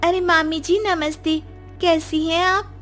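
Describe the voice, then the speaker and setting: A woman voicing a scheming young daughter-in-law in a cartoon story, shrill and whining. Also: fairly even intonation, slow, fairly bright tone, faint music behind, full character voice. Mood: sweetly sly. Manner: shrill, whining, fake sobbing.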